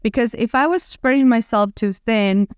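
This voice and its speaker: A woman from Ukraine in her thirties with a Ukrainian accent, talking casually as a podcast guest with lively restarts and fillers. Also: on the high side, moderate pace, clean tone, dry recording, clean studio sound. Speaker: guest entrepreneur. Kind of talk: casual interview talk.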